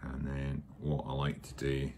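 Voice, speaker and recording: A man's voice: extremely low pitch, monotone. A man giving an informal hands-on tutorial, hesitant and thinking aloud as he works. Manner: unhurried, hesitant, thinking aloud while working. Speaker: hobbyist presenter of a workshop how-to. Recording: noticeable background noise while working.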